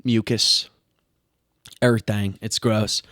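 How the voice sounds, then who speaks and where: A man's voice: fairly low pitch, flat delivery, slow pace, a bit bright, dry recording, clean studio sound. A man co-hosting a podcast, chatting casually and teasing his friend, with a congested voice after a cold. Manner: joking, teasing. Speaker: podcast co-host. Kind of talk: casual chat.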